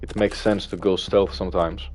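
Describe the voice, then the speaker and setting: Man, Greek accent, low voice, relaxed livestream chat, hesitant with fillers. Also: normal speed. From Greece. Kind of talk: casual livestream chat reading viewer messages.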